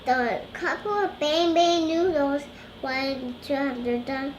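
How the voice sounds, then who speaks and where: A young girl with a high voice reads a storybook aloud. She goes slowly and hesitantly, often stumbling and repeating phrases. The recording is roomy and echoing, with clear noise behind her. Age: child. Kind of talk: halting read-aloud from a storybook.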